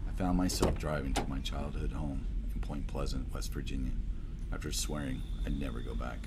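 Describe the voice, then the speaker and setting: Deep-voiced grieving son narrating a horror game, over loud background noise. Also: male voice, fairly even intonation, normal speed. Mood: somber. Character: grieving adult son returning home.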